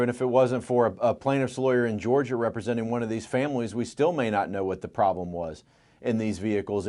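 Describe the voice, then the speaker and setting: An American man with a mid-pitched voice talks as a legal expert being interviewed on radio. He explains his case earnestly and leans on repeated phrases for emphasis. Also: a little mellow. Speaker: plaintiffs' lawyer, interview guest.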